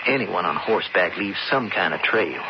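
An actor playing an intense, persuasive young outlaw in a radio western, with folksy frontier talk. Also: male voice, low-pitched, lively intonation, normal speed. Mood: earnest, plotting. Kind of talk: radio western drama acting. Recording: muffled, very noisy old radio recording.

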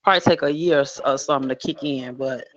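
A woman's voice: a bass voice, fast talker. Exuberant fifty-year-old woman. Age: 50s. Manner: exuberant, churchy, talks to the audience as y'all. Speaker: co-host of an open mic radio show. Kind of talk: casual chat. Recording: dull lo-fi online radio audio.

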